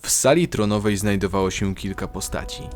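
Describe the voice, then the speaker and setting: Deep-voiced male fantasy narrator. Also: brisk. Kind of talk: scripted dramatic narration of fantasy audio drama. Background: loud dramatic music.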